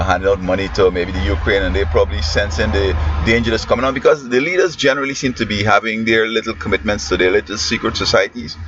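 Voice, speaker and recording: A man's voice: on the low side, fairly bright tone. A man from Guyana with a Guyanese accent, a schoolteacher giving rambling, preachy commentary that often trails off mid-sentence. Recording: muffled lo-fi with faint hiss.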